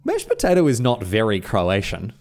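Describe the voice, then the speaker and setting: A young man co-hosting a casual podcast, animated and jokey, slipping into playful voices for quotes. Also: fairly low pitch, normal speed. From Australia. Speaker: podcast co-host. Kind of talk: casual chat.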